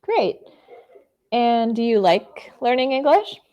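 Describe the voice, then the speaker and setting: A woman's voice: on the high side, very animated, slow pace, dark tone, a little hiss or hum, poor audio. A woman from the United States tutoring English in an online conversation over a video call, speaking encouragingly with frequent fillers.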